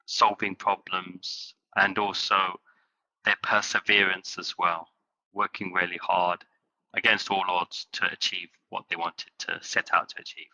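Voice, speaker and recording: A man from England, a company's chief executive, answering interview questions in halting phrases with many fillers. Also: on the low side, bright, forceful tone, low-quality recording. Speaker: guest, company CEO.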